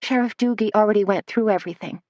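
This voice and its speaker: Flustered young gal babbling fast and high, woman reading her, dry recording. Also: a little mellow, no room sound, lightly acted. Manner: breathless, words tumbling out, higher pitched. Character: flustered young woman, law office assistant.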